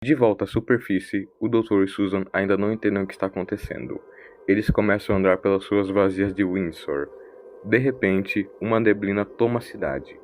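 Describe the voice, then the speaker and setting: A man's voice: fairly low pitch, steady tone, brisk, a little mellow, a little hiss or hum. A Brazilian man narrating a scripted science fiction audio story.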